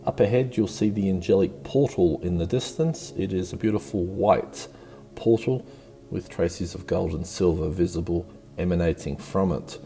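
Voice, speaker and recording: A man with a deep, mellow voice guides a meditation over background music. He gives slow, soothing instructions in a gentle and encouraging way, never hurried. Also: creaky.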